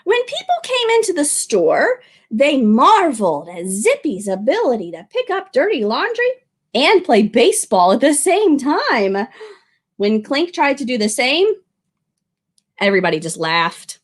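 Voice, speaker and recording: A woman with a high, very lively voice hosts a children's storytime. She speaks like a librarian sharing a picture book, expressive and animated, addressing her young audience directly. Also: unhurried, slightly rough. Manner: animated, expressive, with exclamations and questions to young listeners. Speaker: children's librarian reading aloud.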